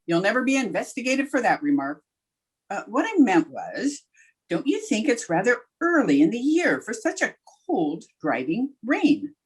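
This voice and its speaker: A woman playing a wry, quick-witted schoolteacher in a 1950s-style radio comedy, with a dry, deadpan delivery and a slightly husky voice. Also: on the high side, low-quality recording.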